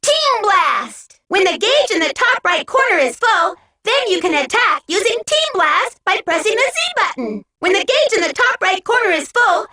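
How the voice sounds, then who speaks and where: A woman's voice: very high voice, big pitch swings, normal speed, hardly any pauses, pushed and bright, heavily acted. A woman voicing a small, cheerful, childlike robot helper who gives eager, encouraging tutorial instructions in a video game. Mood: cheerful, instructive. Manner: peppy, encouraging, clearly instructive.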